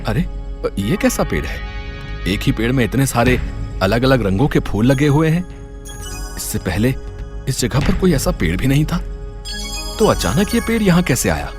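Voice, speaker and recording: A man acts out a poor, kindly woodcutter in a storybook tale. He is earnest and wide-eyed, with a very lively pitch. Music plays clearly behind the voice. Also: normal speed, pauses often, close to an everyday voice. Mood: amazed. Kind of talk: Hindi moral story voice acting.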